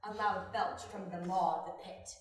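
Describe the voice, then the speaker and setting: A woman's voice: lowish voice, steady tone, moderate pace. A woman reading scripted stage directions as narrator of a dramatic reading. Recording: very echoey room, far from mic.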